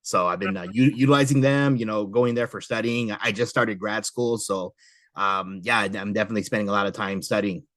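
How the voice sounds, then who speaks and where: A man's voice: lowish voice, steady tone, brisk, a bit bright, no echo. A middle-aged American man hosting a casual podcast conversation. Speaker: podcast host.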